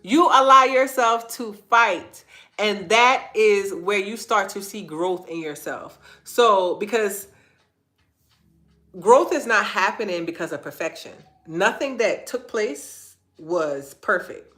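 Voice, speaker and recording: A woman's voice: mid-range voice, slow pace, bright, forceful tone. A woman hosting an inspirational faith-based livestream, earnest and repeating phrases for emphasis, her nose stuffy and congested. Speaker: host of a live online talk.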